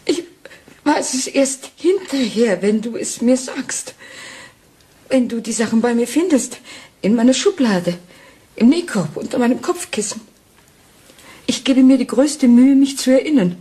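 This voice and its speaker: A woman's voice: fairly high pitch, expressive, a little slow, pauses often, mellow. An actress playing a fragile, anxious wife in a radio play, pleading and distraught with her husband. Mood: hurt, pleading. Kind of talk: German radio play acting.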